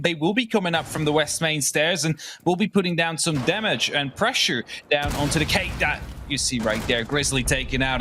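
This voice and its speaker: A man's voice: a little high, bright, forceful tone, slight background noise. Dutch-accented male esports analyst. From Netherlands. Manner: measured, explanatory, hedging with fillers. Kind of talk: live esports color commentary.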